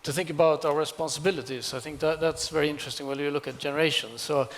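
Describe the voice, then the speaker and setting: A middle-aged man on a panel, offering reflective remarks haltingly as he searches for words. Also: a little high, steady tone. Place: large conference hall stage. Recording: reverberant conference hall.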